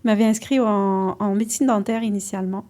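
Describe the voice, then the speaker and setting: Woman in her 40s from Morocco, psychiatrist guest; mellow, halting delivery, lots of fillers, mid pitch. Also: fairly even intonation. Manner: hesitant, many fillers and restarts. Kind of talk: podcast interview.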